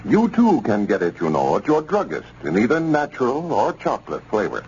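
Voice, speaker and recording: A man announcing for a sponsor on 1930s radio, with a measured, formal delivery. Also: mid-range voice, huge pitch swings, darkish tone. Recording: muffled 1930s radio transcription with hiss.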